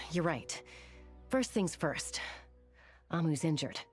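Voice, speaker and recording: A guarded young female warrior in a game. Her voice is on the low side with lively movement in pitch. She is firm, practical and protective. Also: slow, soft background music. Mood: resolute, concerned. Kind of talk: video game voice acting.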